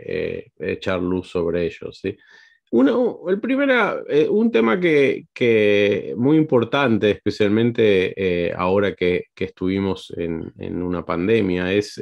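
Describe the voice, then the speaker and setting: A man with an Argentine accent hosting an interview, halting with frequent fillers and tag questions. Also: lowish voice, creaky, darkish tone. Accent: Argentine Spanish. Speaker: host.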